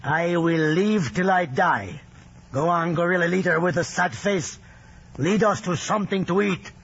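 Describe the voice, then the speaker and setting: An actor playing a stoic, blunt old guerrilla fighter in a vintage radio drama. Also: male voice, sits high, measured pace, fairly bright tone, slightly rough, dull audio, full character voice. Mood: mocking, firm.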